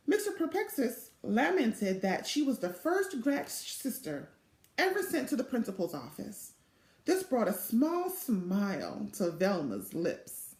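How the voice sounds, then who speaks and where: A middle-aged woman reading a children's storybook aloud in an expressive, deliberate way. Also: fairly high pitch. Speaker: literacy program co-chair reading aloud. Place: small indoor office or home room. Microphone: device mic at arm's length. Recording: echoey room sound.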